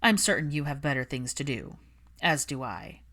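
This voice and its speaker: A woman's voice: very deep voice, expressive, moderate pace, fairly bright tone, heavily acted. A woman voicing a cold, irritated, posh young man in an audiobook, with a dry, clipped drawl. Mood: cold anger. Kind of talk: audiobook character dialogue.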